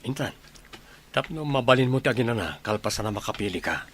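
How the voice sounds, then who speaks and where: A man's voice: medium pitch, lively intonation, fairly quick, a bit bright. Actor as young man of the house, Ilocano radio comedy. Mid-range voice, courteous, attentive, natural delivery. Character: courteous, attentive young gentleman showing his partner around home.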